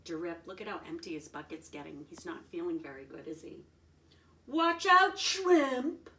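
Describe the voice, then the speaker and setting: A woman's voice: sits low, steady tone, brisk, darkish tone. A woman reading a children's picture book aloud expressively, adding simple explanations and checking in with her young listeners. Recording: roomy echo, distant from the mic.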